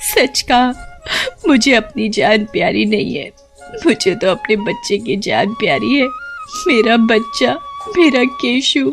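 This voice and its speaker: A poor, distraught woman from an animated tale, acted by a female performer. She pleads in a high voice, full of desperate need. Faint music plays behind her. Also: slow pace. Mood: tearful. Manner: pleading, tearful. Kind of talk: animated Hindi story acting.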